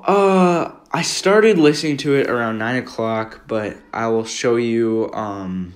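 Young man, American accent, medium pitch, informal rambling commentary, earnest, roomy home recording. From Minnesota, United States. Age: teenager or young adult. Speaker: amateur video maker. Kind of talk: casual commentary over a screen recording. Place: room at home.

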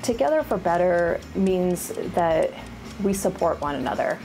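Woman, 40s, lower voice, earnest quick answers, office interview, music bed behind. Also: very animated, vocal fry, mellow, rough recording. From Rhode Island, USA. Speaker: county government affairs manager. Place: office, indoors. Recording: background music underneath.

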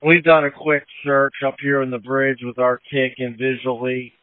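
A man's voice: mid-range voice, level tone, normal speed. A male firefighter reporting to command over an emergency two-way radio. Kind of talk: emergency radio dispatch traffic. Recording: narrow dry two-way radio transmission.

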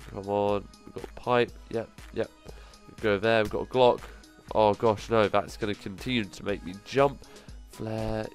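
A man with a cold, sounding nasal, giving excitable commentary as he plays through a survival game, full of quick exclamations. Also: on the low side. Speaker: gaming channel host. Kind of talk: gameplay commentary.

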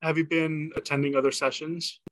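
A man's voice: fairly high pitch, flat delivery, fairly quick, no room sound. Man hosting a webinar, dry mic. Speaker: webinar host. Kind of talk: casual chat. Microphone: video call.

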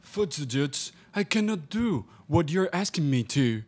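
A man's voice: fairly high pitch, very animated, measured pace, darkish tone. A young man playing a hesitant, apologetic priest in a live student radio play. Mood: reluctant, apologetic. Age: young adult. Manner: hesitant, pained. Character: reluctant, apologetic small-town priest. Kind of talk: student radio theatre acting. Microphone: stage microphone. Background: acoustic guitar.

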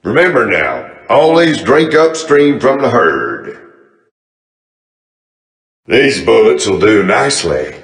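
A cocky, menacing Wild West gunslinger for a video game. He talks in a very slow Southern American drawl that swings dramatically up and down. His swagger rings out with a long, echoing reverb. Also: medium pitch, sing-song. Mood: cocky menace. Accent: American Southern cowboy drawl. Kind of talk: video game character voice lines.

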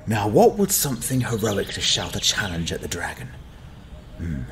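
A sardonic young adventurer of fallen nobility, acted by a man, narrating with wry drama. The voice sits fairly low yet moves expressively, with faint noise behind it. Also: huge pitch swings, normal speed, gravelly, a bit bright, full character voice. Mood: muttering, hesitant. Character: self-deprecating young male fallen-noble adventurer, foolhardy, sardonic. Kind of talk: first-person audio drama narration.

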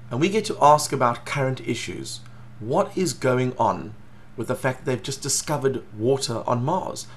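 A middle-aged man with a South African accent, an educator giving an earnest, steady talk to a webcam in a small home office. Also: mid-range voice, a little hiss or hum. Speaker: educator presenting. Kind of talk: scripted talk to camera.

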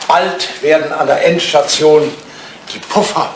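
An actor playing an aging English lord, whimsical, nostalgic and imperious, in a theatrical German radio play. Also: male voice, fairly high pitch, steady tone, unhurried, natural voice. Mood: wry, melancholy. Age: older. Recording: roomy sound with clear background noise.

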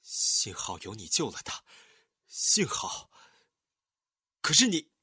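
An actor playing a grieving, lovelorn immortal man in a fantasy audio drama, husky and intensely emotional. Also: on the low side, wildly swooping pitch, slow, bright, forceful tone, exaggerated voice. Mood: anxious, tender.

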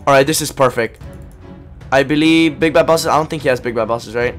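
A young man with a hoarse, worn voice giving excited, slangy commentary on a mobile game for his viewers. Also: mid-range voice, brisk, soft background music. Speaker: gaming YouTuber. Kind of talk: casual gameplay commentary.

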